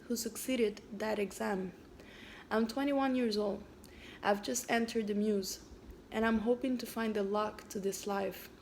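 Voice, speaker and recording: A woman raised in Canada whose first language is French, introducing and then reading aloud her own story in a hesitant way, with frequent pauses and fillers. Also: on the high side, a little mellow, a little hiss or hum.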